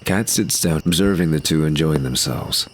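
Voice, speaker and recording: Really deep guy narrating an audio drama script, some background noise and ambience. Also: normal speed.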